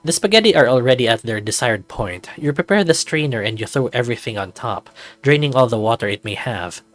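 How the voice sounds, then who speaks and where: A man's voice: mid-range voice, lively intonation, brisk, a bit bright, no echo, faint music behind. A man reading a visual novel as a narrator, with casual, musing asides. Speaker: visual novel reader and commentator.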